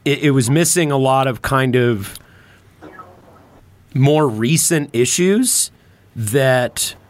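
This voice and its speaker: A man's voice: mid-range voice, lively intonation, slow. A man in casual political discussion on a podcast, repeating and rephrasing as he builds his point.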